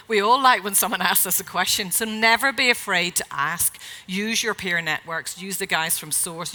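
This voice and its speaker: A middle-aged woman speaks at a conference in a bright, clear voice that carries across the hall. She talks in a chatty way, full of ums and quick restarts, and keeps her pitch in a comfortable middle range. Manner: chatty, filler-heavy, self-correcting; loud projecting voice. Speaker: retail consultant speaker. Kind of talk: conference talk. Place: event hall.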